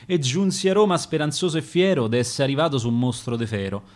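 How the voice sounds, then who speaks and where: A man with a Roman accent playing a rough, sincere young farmhand telling his story in verse. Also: medium pitch, brisk, a little mellow, lightly acted. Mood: proud, wistful. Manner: plain-hearted storytelling in verse.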